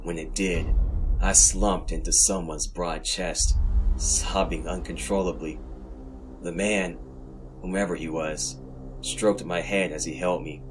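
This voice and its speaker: A man narrating horror fiction as host, in a scripted, dramatic and brooding manner with deliberate pauses. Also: low-pitched, fairly even intonation, noisy background.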